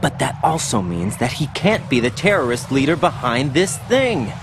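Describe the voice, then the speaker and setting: An actor playing a young special-forces agent in a video game, earnest and questioning. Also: male voice, medium pitch, sing-song, moderate pace, noisy background. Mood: earnest, searching.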